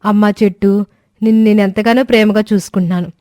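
A woman's voice: mid-range voice, fairly even intonation, fast talker, no echo, dull audio, natural voice. A woman voicing a cheerful but stubborn young village daughter-in-law in a cartoon story, earnest and pleading. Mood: affectionate, pleading. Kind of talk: cartoon moral-story voice acting.

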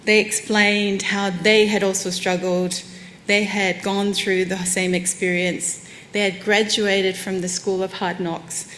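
A woman's voice: mid-range voice, little pitch movement, a little slow, slight background noise, rough recording. A woman, giving a candid, self-deprecating keynote talk from a lectern on a conference stage. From Samoan heritage, New Zealand. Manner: warm, candid, self-deprecating with light laughs. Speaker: conference speaker. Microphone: lectern microphone.

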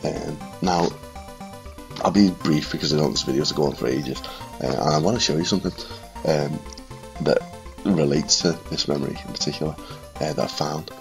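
A man in his thirties with a northwest English accent and a cold, reminiscing quietly and casually to camera in a room at home. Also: rumbling low, brisk, vocal fry, long pauses, music in the background. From Liverpool and Widnes, England. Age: 30s. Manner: quiet, subdued late-night talking. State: congested with a cold. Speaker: retro gaming video maker. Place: small furnished room at home, indoors.

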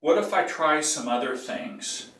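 An older man with a cold teaches bagpipe technique in a casual, rambling way. He keeps clearing his throat and stops to correct himself. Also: fairly high pitch, expressive, measured pace, fairly bright tone. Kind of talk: casual tutorial. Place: small tiled bathroom. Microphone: phone or camera some feet away. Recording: echoey bathroom, voice from a distance.

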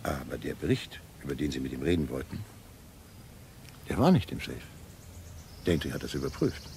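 Cynical old-school spy doctor, deep voice, dry delivery, radio drama, bit of noise. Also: male voice, sing-song, moderate pace, a little mellow, exaggerated voice. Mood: coolly insistent. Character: shrewd, cynical, reactionary male intelligence doctor. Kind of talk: German radio play acting.

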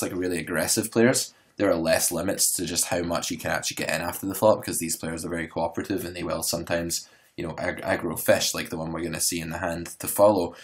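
Poker coach, male, hoarse today, very low voice, loose rambling solo podcast delivery. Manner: relaxed, rambling, filler-heavy explaining.

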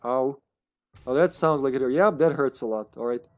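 A man's voice: mid-range voice, normal speed. A man in his thirties with a Serbian accent, joking and laughing through casual live gameplay commentary. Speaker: streamer.

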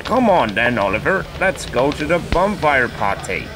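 A man's voice: medium pitch, big pitch swings, normal speed, over music, natural voice. A man voicing a friendly, jolly train driver in a children's storybook.

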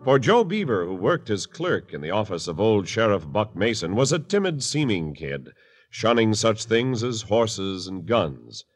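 A man's voice: lowish voice, big pitch swings, a little slow. Dramatic 1950s radio announcer man. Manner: dramatic, emphatic delivery.

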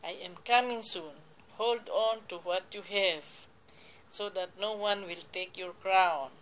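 A woman reading Bible verses aloud and explaining them in a slow, deliberate way, now and then correcting herself, recorded on a phone pointed at a computer screen. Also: medium pitch, a bit bright, poor audio. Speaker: lay preacher. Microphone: phone camera filming a computer screen.

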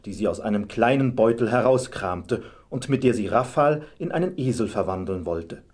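A man's voice: on the low side, animated, moderate pace, a little mellow. A man narrating a fairy tale as a storyteller, reading from a script.

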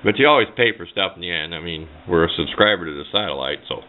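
Low-pitched man, tinny recording. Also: animated, fast. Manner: plain-spoken, rambling, offhand. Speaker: hobbyist presenter. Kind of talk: casual hands-on how-to demonstration. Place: indoors at a workbench. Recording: tinny, band-limited camera microphone sound.

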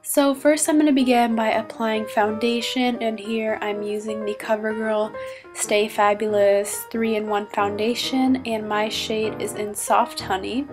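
A woman's voice: a little high, steady tone, a little slow, music in the background. Stuffy-nosed young woman, chatty vlog. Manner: chatty and upbeat, naming each product. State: stuffy nose from a cold. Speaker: beauty vlogger. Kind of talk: makeup tutorial. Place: small bedroom.

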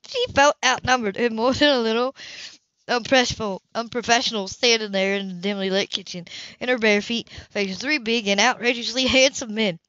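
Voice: mid-range voice, brisk, pushed and bright, dry recording. An amateur reader reading a novel aloud, stumbling over and rereading some phrases. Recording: dull lo-fi home recording.

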